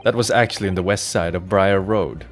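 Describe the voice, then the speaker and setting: A man reads as an accusing lawyer in a courtroom game. The voice is fairly low, deliberate and formal. Music plays quietly behind. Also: natural voice.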